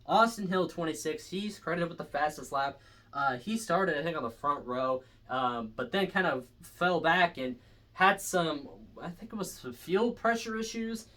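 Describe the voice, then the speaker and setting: A man's voice: on the high side, fairly bright tone. A young man casually reviewing race results on his own, rambling and correcting himself with lots of fillers. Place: indoors, stepping back from the camera at times.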